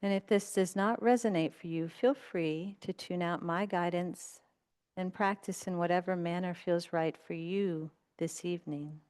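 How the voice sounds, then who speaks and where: A woman with a smooth, clear and fairly low voice leads a guided meditation. She gives gentle, unhurried instructions and leaves long pauses between phrases, as a meditation teacher does. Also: fairly even intonation.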